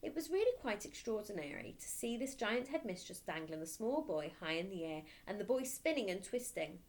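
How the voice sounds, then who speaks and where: A woman teacher reading a children's storybook aloud with expressive narration. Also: mid-range voice, brisk.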